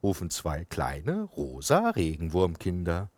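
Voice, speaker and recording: A man narrating a children's story in a calm, even way. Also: sits low, a little slow, dry recording, studio quality.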